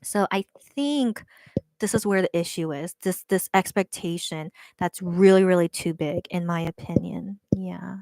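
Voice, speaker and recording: A woman's voice: lowish voice, dry recording. Earnest woman chatting with viewers. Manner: hesitant, many restarts and "right?" tags; earnest, careful to explain her view. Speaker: livestream host reading chat. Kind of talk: casual chat with viewers.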